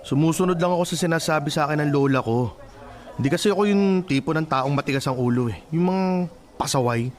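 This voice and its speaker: A man's voice: a little high, fast, natural voice. A man playing a straitlaced, obedient young man in a radio drama, defensive and judgmental.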